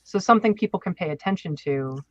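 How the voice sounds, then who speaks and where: Bright-voiced man, slightly dull audio. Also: sits high, animated, fast talker. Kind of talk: casual podcast chat. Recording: dull band-limited audio.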